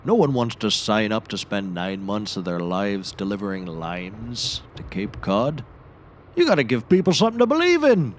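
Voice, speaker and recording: Guy doing a gruff low deadpan sea captain type, dry storyteller, comedy audio show, some background noise. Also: moderate pace. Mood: dry, expansive. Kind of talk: scripted comedy audio drama.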